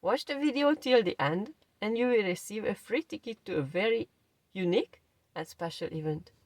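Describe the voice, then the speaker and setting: A woman's voice: medium pitch, animated, moderate pace, darkish tone, no echo. A woman in her thirties from Transylvania with a Hungarian accent, a language coach earnestly telling her own story to camera with fillers and self-corrections. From Transylvania, Romania.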